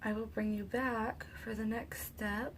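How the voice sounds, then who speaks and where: A woman hosting a soap-making video, talking softly and casually because her throat is sore and she is losing her voice. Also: fairly high pitch, little pitch movement, measured pace, a little hiss or hum. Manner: soft-spoken, talks quietly. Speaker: soap-making channel host. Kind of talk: casual craft tutorial narration.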